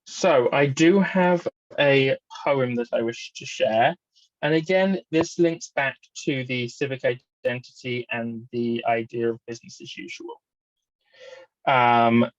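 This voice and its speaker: A man with an English accent talks through a personal presentation in an explanatory, reflective manner. His voice sits at an average male pitch. The recording is dry, with a dull, lo-fi sound. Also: no room sound. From Southwest London, England.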